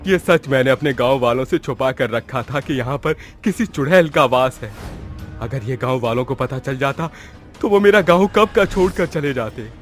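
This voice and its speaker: A man's voice: medium pitch, moderate pace, soft background music, lightly acted. A man voicing a desperate, respectful village headman in a horror story, earnest and urgent. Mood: fearful, urgent. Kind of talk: Hindi horror storybook voice acting.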